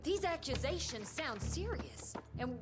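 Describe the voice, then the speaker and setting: A woman's voice: high-pitched, sing-song, a little slow, over music. A woman playing a deferential, cautious government aide in a video game cutscene. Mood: hesitant.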